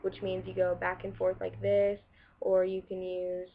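A woman's voice: lowish voice, monotone, unhurried, vocal fry. Young woman, student explainer, casual with ums and restarts, small indoor room, muffled webcam audio. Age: young adult. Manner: casual, many ums and restarts, shows samples to camera. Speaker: welding student. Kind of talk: school project explainer video. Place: small room against a plain wall, indoors. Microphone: webcam in front of her.

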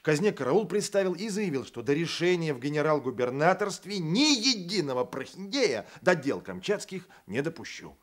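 An actor playing a blunt, loyal provincial Cossack sergeant, folksy and emphatic, in a radio play. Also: male voice, on the high side, very animated, normal speed, few pauses. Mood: wry. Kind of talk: Soviet radio play acting.

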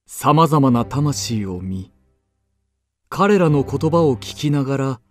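An actor playing an earnest poet-pilgrim who recounts his journey deliberately as first-person radio drama narration. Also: medium pitch, expressive, soft background music. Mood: earnest narrating.

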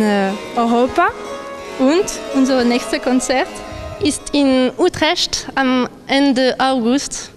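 A young woman with a French accent, a harpsichordist, answering an interview in a church into a handheld mic, hesitating often. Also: high-pitched. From France. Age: young adult. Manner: halting, many hesitations. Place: inside a church. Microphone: handheld reporter mic.

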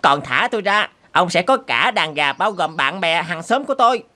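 A woman's voice: lowish voice, lively intonation, moderate pace, pushed and bright, a little hiss or hum. A woman voicing a cunning, fawning wild turkey in a cartoon, pleading and persuading. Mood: coaxing, persuasive. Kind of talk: cartoon character acting.

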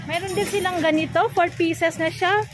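High-pitched Filipino woman vlogging. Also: steady tone, normal speed. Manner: repeats prices back for viewers. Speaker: vlogger interviewing a market vendor. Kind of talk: casual shopping vlog chat. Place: indoor shopping mall stall. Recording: noisy busy market stall.

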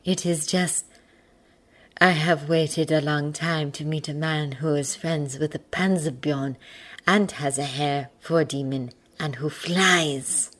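Storybook witch woman, acted by a female reader: hushed, composed, formal phrasing, gently reserved. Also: deep voice, steady tone, heavily acted. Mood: awed, apologetic. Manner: quiet, formal, measured.

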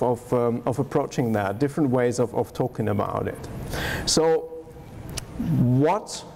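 Wry man lecturing over clear background noise. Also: mid-range voice, darkish tone. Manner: wry, conversational asides. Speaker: theologian giving a conference lecture. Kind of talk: conference lecture with slides. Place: darkened lecture hall at a podium, audience seated. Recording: noisy lecture hall recording.

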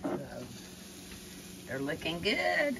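An older woman chatting casually from behind a phone camera in a home kitchen, gently coaxing a child with tag questions while filming. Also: medium pitch, wildly swooping pitch, drawn-out words, creaky, background noise. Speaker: vlogger filming a grandchild cooking. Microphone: phone camera held by the speaker. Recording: kitchen clatter of pots and dishes.

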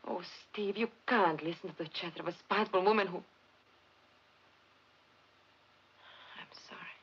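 A woman's voice: fairly low pitch, fast talker, fairly bright tone, slightly rough, heavily acted. A woman acting an earnest, caring scientist in a 1950s film drama. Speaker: film actress. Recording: dull, hissy vintage film soundtrack.

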